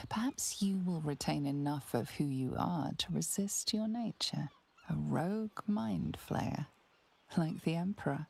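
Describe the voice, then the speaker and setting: A woman playing a grave storyteller in a fantasy game, narrating slowly and solemnly with ominous weight and a husky, gravelly voice. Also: low-pitched, darkish tone, full character voice. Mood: measured, musing. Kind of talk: dramatic second-person game narration.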